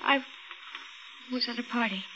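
A woman's voice: on the high side, normal speed, over music, close to an everyday voice. An actress playing a frightened, shaken young woman in a 1940s radio mystery, faltering and breathless as she speaks. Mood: shaken, anxious. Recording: muffled, noisy 1940s radio recording.

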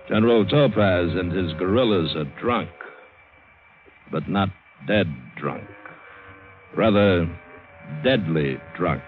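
Low, grim hard-boiled adventurer, muffled radio. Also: male voice, slight background noise. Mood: grim, fatalistic. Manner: terse, dramatic, wry. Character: grim, hard-boiled male adventurer narrating his own doom. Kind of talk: 1940s-50s radio drama narration. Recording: muffled old radio recording. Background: dramatic music.

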